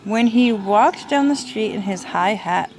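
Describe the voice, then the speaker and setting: A young adult woman reading a children's storybook aloud as a mother does, gently prompting a small child. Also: medium pitch, animated, measured pace, background noise. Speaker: mother reading to her toddler.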